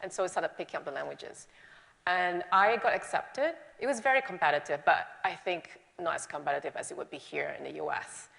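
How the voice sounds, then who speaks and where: A woman's voice: low voice, fairly quick, gravelly, fairly bright tone, a little hiss or hum. A woman in her forties from Singapore, a native Malay speaker, answering interview questions on stage in a chatty, self-deprecating way. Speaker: guest speaker. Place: lecture hall. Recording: reverberant hall.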